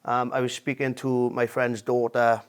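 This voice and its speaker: A Welsh man joining a casual political discussion as a guest, hesitant and pausing often. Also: medium pitch, completely flat delivery, clean tone. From Wales. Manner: hesitant, many "um"s and restarts.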